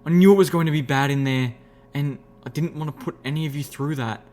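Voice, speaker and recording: Guy doing a small nervous pony girl, raised voice, halting, pleading and sorry. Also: fairly high pitch, darkish tone, pitched above the performer's own voice, natural voice. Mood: desperate, apologetic. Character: anxious, apologetic little young female pony.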